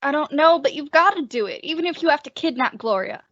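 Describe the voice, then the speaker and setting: A woman's voice: sits high, lively intonation, fast talker, a bit bright, no room sound. A woman playing a desperate, lovesick husband with urgent, earnest pleading, in old-time radio drama style. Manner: urgent, imploring delivery. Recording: dull lo-fi close dry recording.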